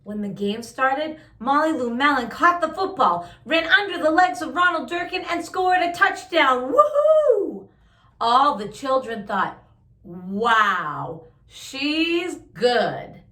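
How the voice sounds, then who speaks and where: A woman reading a picture book aloud to young listeners, with expressive drawn-out emphasis and chatty asides. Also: on the high side, reverberant. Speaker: children's story-time host reading a picture book aloud.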